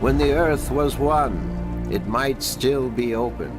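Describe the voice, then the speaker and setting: A man voicing an ancient, wise being of a magical people in a fantasy game, speaking in a formal, deliberate, solemn way. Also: mid-range voice, animated, music in the background. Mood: solemn, earnest. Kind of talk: fantasy game character dialogue.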